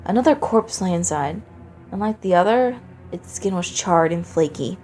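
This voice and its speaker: A woman's voice: on the low side, expressive, moderate pace, a little mellow, faint music behind. A woman narrating a dramatic story from a script as a fan fiction reader.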